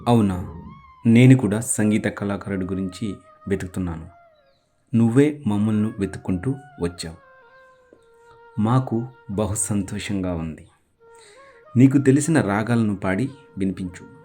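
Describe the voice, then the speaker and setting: A man's voice: lowish voice, unhurried, long pauses, mellow, soft background music. A man voicing a stately, gracious king with a formal manner in a children's cartoon. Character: stately, gracious male king who loves music. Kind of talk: children's cartoon story dubbing.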